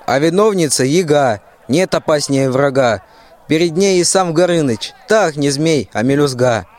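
A man's voice: a little high, expressive, moderate pace, a little mellow, close to an everyday voice. A man in a radio play acting a grovelling, scheming army general who declaims excuses in rhyme. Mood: grovelling, blaming. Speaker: school student actor.

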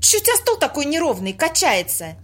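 Bossy, snippy woman running a séance in a radio play, amateur actress: very high voice, grumbling colloquial delivery. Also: huge pitch swings, a little fast, fairly bright tone, exaggerated voice. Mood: irritated. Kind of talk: amateur audio play acting.

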